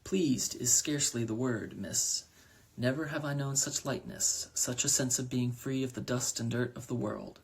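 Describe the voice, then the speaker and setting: A man's voice: medium pitch, normal speed, vocal fry, husky. A man voicing a timid, stiffly formal, apologetic man who has become a crow. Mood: flustered.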